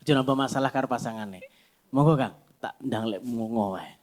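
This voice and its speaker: A man's voice: medium pitch, fairly quick. A man in his fifties with a Javanese accent, hosting and teasing the crowd playfully. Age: 50s. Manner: teasing, playful, mixes in local words. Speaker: host. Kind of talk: joking emcee banter.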